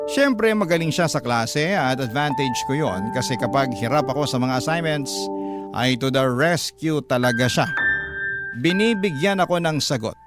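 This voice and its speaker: A man narrating a scripted first-person radio story in a schoolgirl's words, confiding and dramatic. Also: medium pitch. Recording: music behind the narration.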